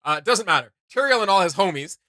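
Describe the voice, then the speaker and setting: A man hosting a casual reaction video, giving enthusiastic commentary in halting, repetitive bursts. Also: high-pitched, expressive, pushed and bright, no echo.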